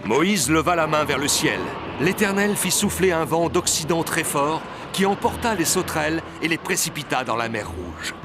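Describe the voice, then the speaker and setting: A man's voice: a little high, lively intonation, normal speed, background noise. A man giving scripted narration of a story as narrator. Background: music, outdoor ambience effects.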